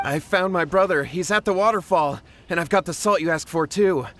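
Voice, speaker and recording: A respectful young villager from an anime-style game. His voice is high and anxious, earnest in tone. Faint ambience runs behind it. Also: brisk, a bit bright, a little hiss or hum. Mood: urgent.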